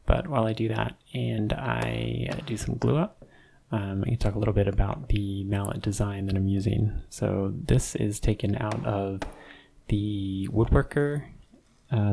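A man with a low, gravelly voice talks through a woodworking project in a casual, meandering voice-over. His tone is dark and mellow, full of ums and small restarts. Faint clicks sit under the voice. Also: a little hiss or hum. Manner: relaxed, unhurried, many ums and restarts. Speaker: woodworking channel host. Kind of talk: casual voice-over narration of a build. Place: home woodshop.

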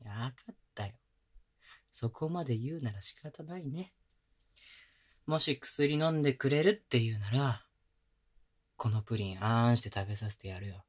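A man playing a young boyfriend who coaxes and pampers his partner, talking in rough casual slang softened with gentle whispers, recorded close on a binaural mic. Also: mid-range voice, normal speed, long pauses, close to an everyday voice. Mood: tender, teasing. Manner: soft close whispers at times. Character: young male boyfriend, rough-spoken but doting caretaker. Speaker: voice actor. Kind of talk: Japanese girlfriend-experience roleplay audio drama.

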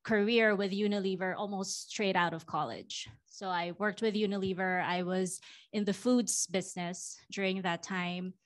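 Filipino-born woman, 40s, conference panel guest, thoughtful and halting. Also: mid-range voice, steady tone. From Philippines. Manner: warm, reflective, many ums. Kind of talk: fireside chat on stage. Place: conference stage before a live audience. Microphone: handheld mic.

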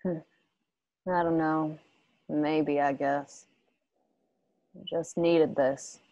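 A woman playing a guarded, grieving widow in a western radio play, speaking in halting, understated phrases. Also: sits low, level tone, dark tone, no room sound, exaggerated voice, rough recording. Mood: subdued, grateful. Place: home, on a group video call.

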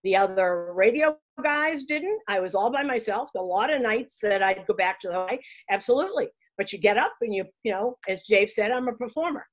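An older woman with a Boston accent tells baseball stories in a lively, casual way, restarting her sentences often, speaking on a video call from her home. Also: medium pitch, moderate pace, hardly any pauses, low-quality recording. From Boston, USA. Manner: animated, talks with her hands, restarts often. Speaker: guest, baseball broadcaster. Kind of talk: casual interview storytelling. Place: room at home, framed pictures on the wall.

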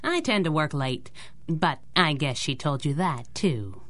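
Bright-voiced, sassy young woman. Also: sits low, animated, exaggerated voice. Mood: polite, wry. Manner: sassy, quick-witted, slangy. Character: brash, wisecracking young working woman, loyal daughter. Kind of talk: 1930s-style radio drama acting.

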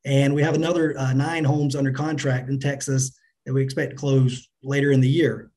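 A middle-aged man answering business interview questions as a company finance chief, in a measured, businesslike manner. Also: mid-range voice, little pitch movement, darkish tone. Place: indoors on a remote video call.

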